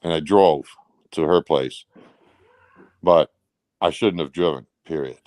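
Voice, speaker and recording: A man's voice: rumbling low, takes it slowly, darkish tone, no room sound. A man answering questions in a casual interview, offhand and somewhat defensive, often repeating himself. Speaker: interview guest, truck driver.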